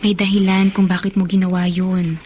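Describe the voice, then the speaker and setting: A woman with a Filipino accent playing a gently reassuring, practical companion in a radio drama. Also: on the low side, level tone, moderate pace, slight background noise, natural voice.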